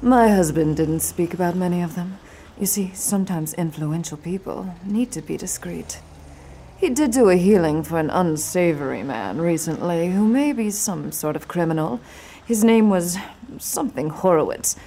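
A woman's voice: fairly low pitch, animated, normal speed, dark tone, slight background noise. A woman playing a wealthy, poised young wife in a noir audio drama, speaking in a composed, cool way. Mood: poised, discreet. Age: 20s.

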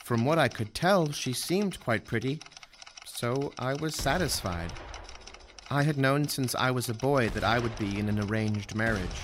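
A man's voice: mid-range voice, animated, unhurried, a bit bright, slight background noise. An actor playing a young Roman captain in an audio drama, earnest and candid, speaking deferentially to his superior. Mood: reflective, candid. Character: young Roman army captain, earnest, respectful, well-bred.

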